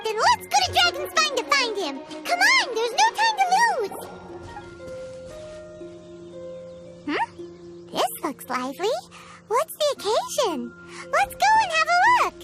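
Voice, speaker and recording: A woman voicing a tiny, childlike, excitable and pushy fairy companion in a video game. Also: very high voice, moderate pace, over loud music, heavily acted. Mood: excited. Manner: speaks of herself in third person, exclamatory. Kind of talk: cartoonish video game voice acting.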